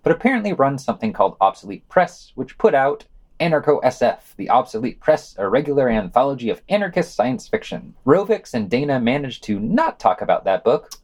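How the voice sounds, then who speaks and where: A man's voice: mid-range voice, big pitch swings, fairly quick, a little mellow. A man co-hosting a podcast, reading a scripted news round-up in a wry, sardonic way. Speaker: podcast co-host.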